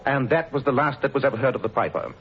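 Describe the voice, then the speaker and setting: An actor playing a calm, learned detective who talks in a measured, lecturing way with dry amusement, in a 1940s radio play. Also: male voice, medium pitch, close to an everyday voice. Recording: dull old radio recording with hiss.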